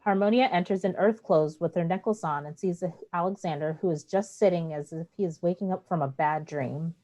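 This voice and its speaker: A woman's voice: on the low side, fairly quick, dark tone. A woman reading stage directions as the narrator of a play, in scripted narration.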